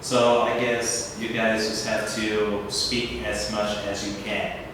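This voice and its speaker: A man's voice: fairly low pitch, level tone, slow, far from the mic, noisy background. A man in a casual talk, asking rhetorical questions and leaning on "you know" a lot. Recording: echoey hall, picked up from afar.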